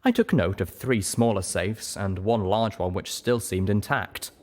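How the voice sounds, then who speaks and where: A man reading a story aloud as expressive, scripted first-person narration. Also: lowish voice, unhurried. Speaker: audiobook reader.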